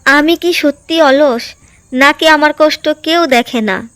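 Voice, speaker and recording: A woman's voice: high-pitched, slow, fairly bright tone. A woman playing a meek, dutiful young daughter-in-law in a village cartoon drama. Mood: hurt, meek. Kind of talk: Bengali cartoon drama dialogue.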